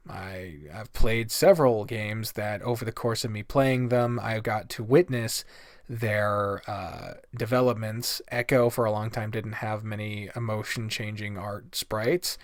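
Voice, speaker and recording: A man's voice: medium pitch, dry recording, studio quality. A man giving a casual, rambling game review in a blunt way, swearing freely. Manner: blunt, profane. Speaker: video game reviewer, host.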